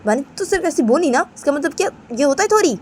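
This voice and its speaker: Lady doing a scolding granny, tutting at her daughter-in-law, kinda high. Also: lively intonation, fast talker. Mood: chiding. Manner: chiding, reproachful. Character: scolding grandmother, reproachful elder.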